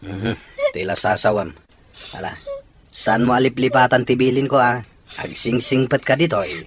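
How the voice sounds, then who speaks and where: A man's voice: medium pitch, steady tone, normal speed, crowd noise, lightly acted. A man acting a struggling, jobless father who is firm with his young daughter, in an Ilocano radio drama with an Ilocano accent. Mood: gently firm.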